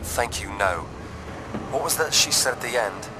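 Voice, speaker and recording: A man's voice: mid-range voice, animated, normal speed, lightly acted. An actor playing a wry, reserved male sidekick in a video game. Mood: uneasy, wry. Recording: clear noise behind the voice.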